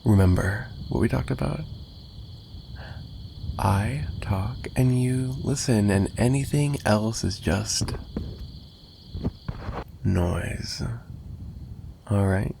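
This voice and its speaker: A man playing a smug, dominant mafia boss kidnapper with a gravelly low voice, teasing and menacing, in an ASMR roleplay style. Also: drawn-out words, long pauses, warm tone, a little hiss or hum, heavily acted. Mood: possessive, controlling.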